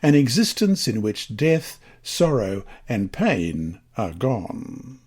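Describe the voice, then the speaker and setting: A man from Australia reading a scripted Bible lesson and reciting scripture in a measured, solemn, deliberate manner. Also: medium pitch, expressive, darkish tone, slightly rough.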